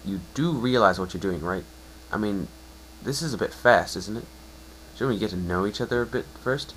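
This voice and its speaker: Man as a low-voiced, wry winged pony. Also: animated, normal speed, fairly bright tone, a little hiss or hum, poor audio. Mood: awkward, teasing. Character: guarded, wry male winged pony with damaged wings. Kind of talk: amateur dramatic reading of fan fiction.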